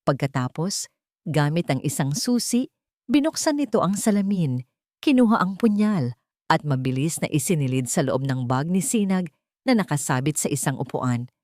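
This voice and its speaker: A woman narrating a scripted dramatic story in measured, suspenseful phrasing. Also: low-pitched, very animated, a little mellow, dry recording, studio quality.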